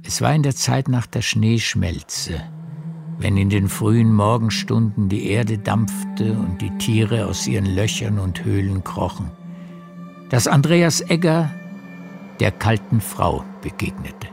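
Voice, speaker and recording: A man narrating a literary radio play in a measured storytelling manner. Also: fairly low pitch, vocal fry. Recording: music behind the narration.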